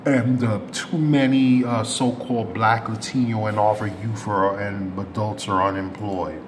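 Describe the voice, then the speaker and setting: A man hosting a community news channel, giving unscripted commentary to subscribers in a rambling way, often correcting himself with rough approximations. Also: fairly low pitch, flat delivery, measured pace. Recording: clear background noise, ambient room sound.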